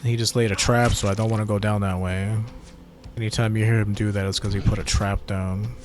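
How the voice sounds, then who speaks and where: A man's voice: on the low side, little pitch movement, fairly quick. A young adult man with a tired voice, giving laid-back, slangy gameplay commentary and swearing at mishaps. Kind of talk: casual let's-play commentary.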